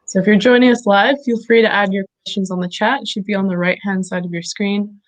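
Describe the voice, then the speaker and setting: A woman's voice: mid-range voice, fairly even intonation, quick pace, low-quality recording. Hesitant woman hosting an online talk. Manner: friendly, hesitant, many ums. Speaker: talk host. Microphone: video call.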